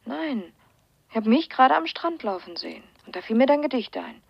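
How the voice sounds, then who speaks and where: Whimsical dreamy woman, highish husky voice, dull dry sound, 1970s radio play. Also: animated, brisk, dry recording, full character voice. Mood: dreamy, whispering. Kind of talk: 1970s German radio crime drama acting.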